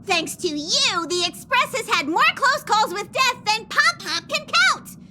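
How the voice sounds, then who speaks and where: A woman's voice: very high-pitched, very animated, measured pace, noisy background, heavily acted. A woman voicing a small, bossy, fretful train conductor creature in a video game, with a scolding manner. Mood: indignant.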